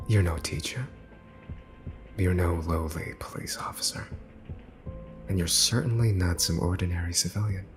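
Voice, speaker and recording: A man playing a possessive, teasing young mafia boss's son in an ASMR roleplay, smug and taunting, slipping into breathy whispers. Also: deep voice, exaggerated voice. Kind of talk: ASMR boyfriend roleplay acting.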